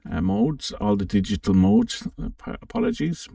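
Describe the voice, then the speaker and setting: A man hosting a casual, informal software walkthrough for an amateur radio channel, thinking aloud a little hesitantly as he goes. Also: high-pitched, wildly swooping pitch, moderate pace, creaky, studio quality. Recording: roomy, distant microphone.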